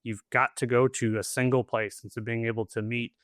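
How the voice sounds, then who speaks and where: A man's voice: medium pitch, flat delivery, a little fast, a bit bright, dry recording, studio quality. A man co-hosting a podcast, talking conversationally as he interviews and sums up for guests. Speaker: podcast co-host.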